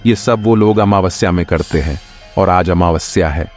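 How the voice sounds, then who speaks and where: A kindly older priest voiced by a man speaks low and solemnly, offering reassurance in an animated horror tale. Faint music sits behind him. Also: normal speed, close to an everyday voice. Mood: grave. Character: calm, kindly older male temple priest. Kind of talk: animated horror story voice acting.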